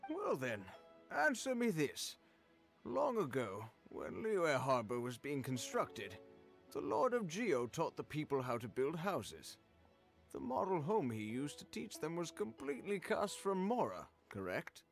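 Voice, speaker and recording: Animated, earnest lecturing scholar. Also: male voice, a little high, a little slow, gravelly, faint music behind, heavily acted. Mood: challenging. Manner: lecturing, assertive. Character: earnest, reverent male scholar, argumentative and devout. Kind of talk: English game voice acting.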